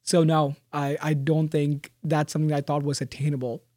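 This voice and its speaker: A young man, a graduating student, answering interview questions in a thoughtful, soft-spoken way with frequent fillers and restarts. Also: on the high side, level tone, normal speed, no room sound, studio quality. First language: Malayalam. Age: young adult.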